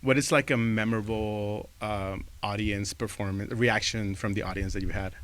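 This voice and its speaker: A man's voice: on the low side, steady tone, moderate pace, fairly bright tone, no room sound. Thirtysomething Mexican-accented man, easygoing host. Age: 30s. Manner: easygoing, laughs often. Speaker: podcast co-host. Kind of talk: casual chat.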